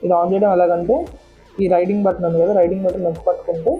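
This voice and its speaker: A woman's voice: lowish voice, level tone, racing, husky, phone-quality sound, soft background music. A woman vlogger giving a casual, step-by-step product walkthrough, often repeating words as she explains. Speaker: motorcycle vlogger and product reviewer.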